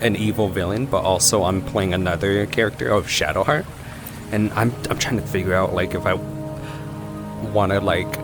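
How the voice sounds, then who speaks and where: A man's voice: deep voice, steady tone, moderate pace. A man casually reacting to a game's story as a streamer, musing in an offhand, playful way. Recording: game music and rain behind voice. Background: game music, rain.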